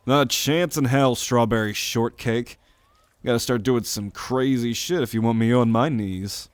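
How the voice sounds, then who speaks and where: A man acts out a laid-back young guy in an audio roleplay. He talks slowly and casually, teasing his friends with crude sarcasm, in a close, dry recording. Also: medium pitch, clean tone, no echo, lightly acted. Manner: casual, teasing, swears freely. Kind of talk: ASMR roleplay audio drama.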